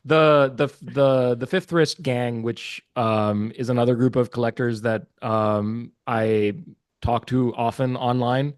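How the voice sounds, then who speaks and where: A man's voice: medium pitch, fairly even intonation, slow, clean tone, no room sound, clean studio sound. A man from Pakistan whose first language is Urdu, a podcast guest talking casually and earnestly, with many fillers and restarts. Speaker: guest, watch market research business developer. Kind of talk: casual interview chat.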